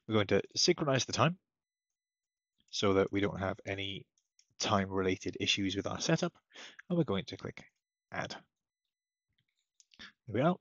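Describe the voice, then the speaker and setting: A man with a low, husky voice talks through software steps casually, as a technical support presenter. The sound is dull and slightly lo-fi, recorded at a computer. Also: no room sound. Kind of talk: casual step-by-step software tutorial narration. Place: indoors at a computer, screen-recording voice-over. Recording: dull lo-fi close dry microphone.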